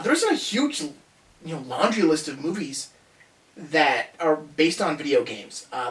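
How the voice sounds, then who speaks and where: A man hosting a casual countdown review video, rambling and chatty as he corrects himself along the way. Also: fairly high pitch. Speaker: host of a movie review video. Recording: roomy echo, distant from microphone.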